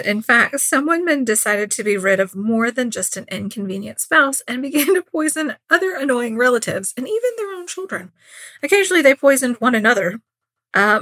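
A woman's voice: fairly high pitch, bright, forceful tone, no room sound. A woman co-hosting a podcast, casually retelling a true crime story in an informal, conversational way and acting out quotes. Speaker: podcast co-host.

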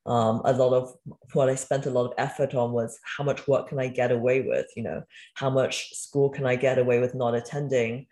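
A woman in her thirties, raised in Wales after a childhood move from China, recounting her upbringing in a relaxed, reflective interview manner with frequent "um"s. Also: extremely low pitch, normal speed. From born in China, raised in Wales. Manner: reflective, candid, uses fillers like um and you know. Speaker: guest, entrepreneur. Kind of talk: conversational interview storytelling. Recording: echoey room.

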